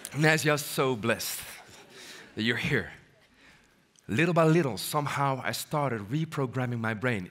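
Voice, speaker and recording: A man speaking on stage with a high voice and a bright, forceful tone. He gives an animated motivational talk and banters with the audience. He switches into French and Arabic mid-talk. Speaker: keynote speaker. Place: on stage before a live audience.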